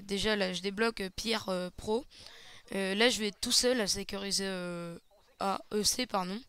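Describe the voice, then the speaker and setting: A man giving casual, hesitant play-by-play commentary over a shooter match, slightly hoarse, with lots of fillers. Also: high-pitched, pushed and bright. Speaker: gaming channel host. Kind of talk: gameplay commentary.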